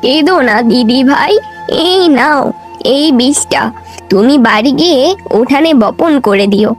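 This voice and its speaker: A woman's voice: sits high, lively intonation, unhurried, faint music behind. A woman voicing a kindly, soothing old village grandmother in a children's cartoon, speaking tenderly. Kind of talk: Bengali children's cartoon voice acting.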